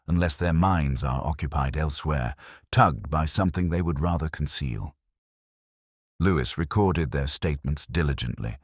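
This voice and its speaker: A man narrating a first-person detective mystery in a measured, reflective, literary manner. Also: rumbling low, no echo, clean studio sound.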